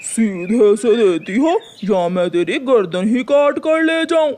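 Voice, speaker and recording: A woman's voice: on the high side, expressive, takes it slowly, darkish tone, a little hiss or hum. A woman voicing a bullying, threatening male owl thief in a children's cartoon. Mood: menacing. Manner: commanding, threatening.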